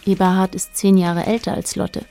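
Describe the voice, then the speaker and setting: An actress playing a detached, observant narrator in a radio drama, speaking in a measured, matter-of-fact way. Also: female voice, medium pitch, flat delivery, dark tone, a little hiss or hum, natural voice.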